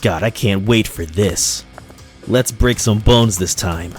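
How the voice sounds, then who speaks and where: A man's voice: lowish voice, takes it slowly. A man voicing an arrogant, thuggish school bully in a visual novel, belligerent and full of contempt. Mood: eager menace. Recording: music behind the voice.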